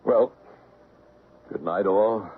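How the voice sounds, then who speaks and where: Courteous investigator, 1970s radio drama, deep register, tinny band-limited sound. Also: male voice, drawn-out words, slightly rough, exaggerated voice. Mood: polite, assured. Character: polite, composed male paranormal investigator. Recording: narrow, tinny vintage radio recording.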